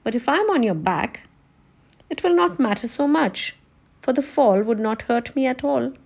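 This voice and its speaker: A woman voicing a decisive scarecrow, pitched up. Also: lively intonation, moderate pace, gravelly. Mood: eager, brave. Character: living male scarecrow, practical, brave and decisive.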